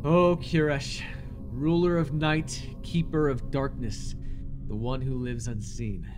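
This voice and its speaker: A man playing a fanatical, desperate cult leader devoted to a dark master, theatrical and intense, in an immersive audio roleplay. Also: medium pitch, steady tone, takes it slowly, over music, lightly acted. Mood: grandiose, incantatory. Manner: theatrical, intense, stammering when shaken.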